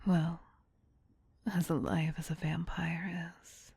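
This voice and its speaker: A woman voicing a tender, possessive vampire queen in a soft, breathy, soothing ASMR whisper. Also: sits low, flat delivery, no room sound, heavily acted. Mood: gently affectionate. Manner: slow, doting, soothing.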